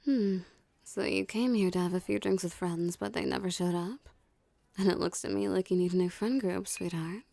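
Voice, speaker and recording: A woman's voice: on the low side, warm tone, no echo. A woman playing a dominant, teasing mafia boss in an ASMR roleplay, speaking with cool, condescending flirtation. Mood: cool, flirtatious. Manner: smooth menacing flirtation, condescending, unhurried. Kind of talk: ASMR roleplay, scripted character acting.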